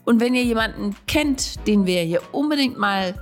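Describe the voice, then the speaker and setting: A woman's voice: on the high side, expressive, normal speed, soft background music. A woman hosting a podcast in a studio, reading an intro and then interviewing guests in a friendly way that explains things for listeners. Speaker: podcast host.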